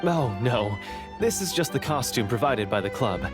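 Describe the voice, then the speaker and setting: A man's voice: mid-range voice, animated, moderate pace, lightly acted. A man voicing a polite, modest script manager in a video game, courteous in delivery. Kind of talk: video game character dialogue. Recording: music playing behind the voice.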